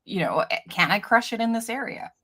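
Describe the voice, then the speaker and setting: A woman's voice: a little high, lively intonation, brisk, sharp tone. A woman from Canada hosting a casual interview, chatty and laughing often as she gives business advice. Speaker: host, cleaning business coach.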